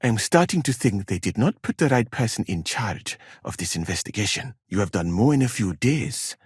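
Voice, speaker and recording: Casting: courteous, learned traveler-physician for a game; lowish husky voice, formal careful wording, expressive swings in pitch. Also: male voice, no room sound, studio quality, exaggerated voice. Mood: warm, approving. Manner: measured, formal, careful phrasing. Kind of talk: video game character dialogue.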